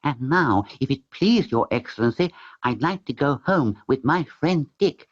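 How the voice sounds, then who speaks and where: A man's voice: mid-range voice, animated, measured pace. A man voicing a wise, courteous male cat in a storybook reading. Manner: courteous, gentle. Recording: slightly dull with faint music.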